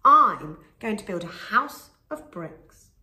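A woman voicing small plucky little pigs in a storybook reading, with a squeaky, high, excited manner. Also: slow, exaggerated voice. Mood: eager. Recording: echoey room.

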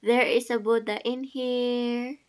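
A woman's voice: on the high side, level tone, slow, smooth. A young adult woman with a Filipino accent, giving a casual walking-tour vlog outdoors. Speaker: vlogger. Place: outdoors, walking around temple grounds and stairways.

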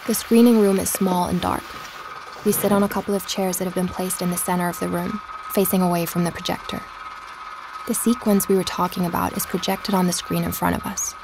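An actress playing a curious woman investigator who hosts a podcast in an audio drama, speaking in a measured, explanatory way. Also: lowish voice, fairly even intonation, vocal fry, background noise. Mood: reflective. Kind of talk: scripted documentary-style podcast narration.

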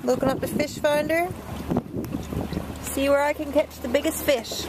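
Lady vlogging on a fishing boat, high voice, playful n casual, super windy noisy audio. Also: steady tone, moderate pace. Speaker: amateur vlogger filming a fishing trip. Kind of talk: casual playful narration to the camera. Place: outdoors on a small fishing boat at sea. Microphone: handheld camera, held by the speaker. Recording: loud wind and boat noise, windy mic.

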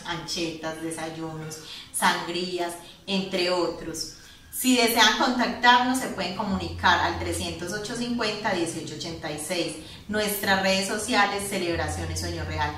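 A woman's voice: lowish voice, fairly bright tone, very echoey, distant mic, slight background noise, rough recording. A woman cheerfully introducing her business. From Colombia. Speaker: business founder. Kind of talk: promotional self-introduction. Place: indoor studio room.

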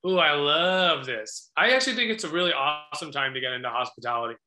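American man, thirties, interview guest, slightly high forceful voice, roomy video-call sound. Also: animated, fast talker. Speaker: guest restaurateur. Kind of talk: conversational Q&A answers. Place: small indoor office. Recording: echoey room on video call.

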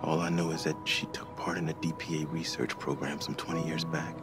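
A very low-pitched, middle-aged American man speaks as a kind, protective researcher. His manner is gentle and colloquial, like a trusted friend in a cinematic video game. Prominent music plays behind him. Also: flat delivery, fairly quick. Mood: grave, careful. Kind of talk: naturalistic cinematic game acting.

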